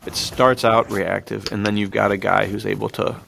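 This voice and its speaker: A man's voice: fairly low pitch, level tone, moderate pace, creaky, a bit bright, a little hiss or hum. A man co-hosting a podcast, discussing films in an informal, laid-back, slangy way. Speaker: podcast co-host. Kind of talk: casual film discussion.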